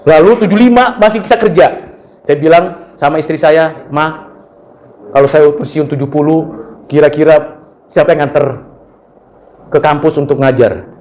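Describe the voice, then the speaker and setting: A man's voice: on the high side, moderate pace, long pauses. A middle-aged Indonesian man preaching a sermon, telling personal stories and acting out the people in them. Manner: animated storytelling, acts out quoted voices. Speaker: preacher and university lecturer.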